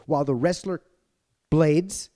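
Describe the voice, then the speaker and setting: A man co-hosting a podcast in loose, animated chat, ranting and cursing as he gets worked up. His voice sits a little high but has a dark, mellow tone, and it sounds close and dry with no room echo. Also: unhurried. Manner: animated ranting, heavy swearing. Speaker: podcast co-host, father. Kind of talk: casual chat.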